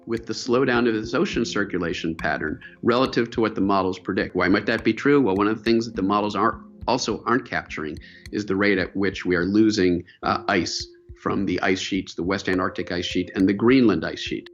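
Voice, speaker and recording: A man's voice: fairly low pitch, brisk. A man, a climate scientist, explaining fluently and emphatically in a documentary interview. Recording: dull lo-fi video audio with faint music.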